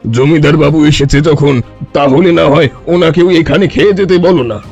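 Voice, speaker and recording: A man's voice: a little high, normal speed, a little mellow, husky, dull audio. A man playing a sly, fraudulent village holy man in a cartoon, pompous and scheming. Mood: presumptuous. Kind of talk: cartoon story dialogue. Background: music.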